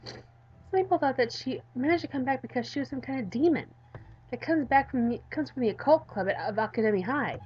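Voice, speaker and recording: A woman's voice: high voice, animated, brisk, darkish tone, husky, muffled recording. A woman with a cold giving theatrical, playful let's play commentary as she reads a visual novel aloud. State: has a cold, coughing. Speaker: gaming video host.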